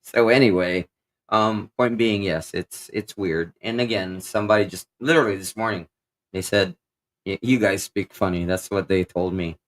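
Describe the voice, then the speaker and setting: A man with a Kapampangan accent co-hosts a relaxed livestream. His voice sits on the low side, and he reads viewer comments aloud in a meandering, easygoing way, with plenty of "um" and "you know what I'm saying". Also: normal speed, low-quality recording. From Philippines. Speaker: livestream co-host. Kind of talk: casual livestream chat, reading viewer comments.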